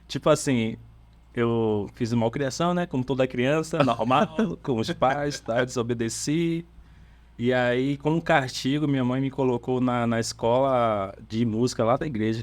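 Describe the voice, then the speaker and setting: A man's voice: mid-range voice, no echo. Bahian man telling a story, dry sound. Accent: Bahian Portuguese. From Salvador, Brazil. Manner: easygoing storytelling, hesitant fillers and restarts, laughs often. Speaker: bassist of a church worship band, podcast guest. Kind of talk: casual podcast chat.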